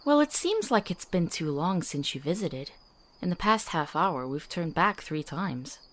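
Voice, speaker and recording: Young female apprentice apothecary, played by a woman, low-pitched and animated, curious yet skeptical, visual novel. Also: measured pace. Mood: wry, exasperated. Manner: wry, questioning.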